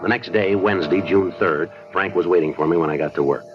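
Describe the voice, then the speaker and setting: An actor playing a terse, matter-of-fact police detective in a 1950s radio crime drama. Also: male voice, low voice, fast, natural voice. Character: clipped, businesslike male police detective narrating his case. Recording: muffled 1950s radio recording with music.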